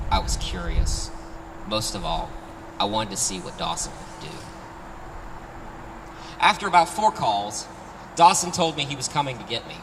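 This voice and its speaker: A man reading his own short story aloud in a conversational, first-person style at a live event. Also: fairly low pitch. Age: 30s. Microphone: handheld microphone, picked up from afar. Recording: distant, reverberant live recording with street noise.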